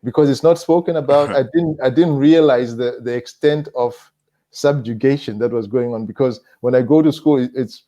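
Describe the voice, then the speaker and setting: A man with a Zimbabwean accent speaking as a panelist in a reflective discussion, measured and halting, repeating phrases for emphasis. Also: mid-range voice, dark tone.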